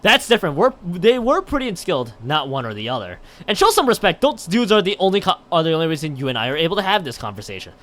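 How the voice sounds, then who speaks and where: A man's voice: a little high, very animated, fast talker, few pauses, fairly bright tone. A man livestreaming, chatting and reading a visual novel aloud, often stumbling over and rereading his lines. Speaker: live streamer.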